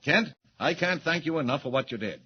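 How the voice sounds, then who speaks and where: An actor playing a brisk, bossy middle-aged newspaper editor in a 1940s radio drama. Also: male voice, on the high side, animated, husky, dull audio.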